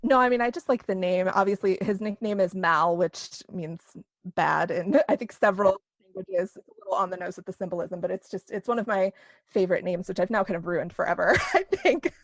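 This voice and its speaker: A woman's voice: mid-range voice, expressive, a little fast, no room sound. A woman being interviewed as a novelist, chatting casually and peppering her talk with "like".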